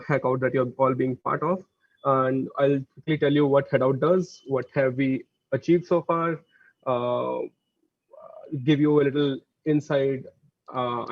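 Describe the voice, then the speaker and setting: A man giving a company presentation over a video call, talking quickly and loosely with many fillers and restarts. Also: on the high side, no echo. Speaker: engineering executive speaker. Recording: dull, dry video call audio.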